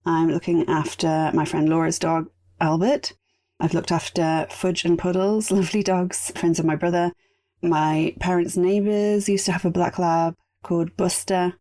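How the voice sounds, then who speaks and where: Chatty low-voiced woman, a bit raspy. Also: flat delivery, normal speed, mellow. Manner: chatty, rambling asides. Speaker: podcast host. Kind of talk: casual solo podcast talk.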